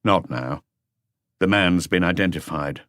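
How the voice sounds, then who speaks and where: A man voicing a world-weary veteran police inspector in an audiobook, terse, measured and reflective. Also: deep voice, a bit bright, no echo, clean studio sound. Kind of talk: audiobook character dialogue.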